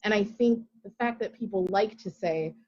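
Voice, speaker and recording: A woman's voice: medium pitch, moderate pace, dark tone, dull audio. A woman speaking as a round table panelist, making her point emphatically and persuasively. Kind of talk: panel discussion.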